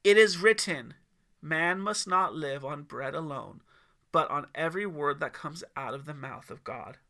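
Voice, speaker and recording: A man voicing a weakened, fasting holy man in an audiobook story, solemn and quietly certain, with a scratchy voice. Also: fairly high pitch. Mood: firm, solemn. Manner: solemn, declarative.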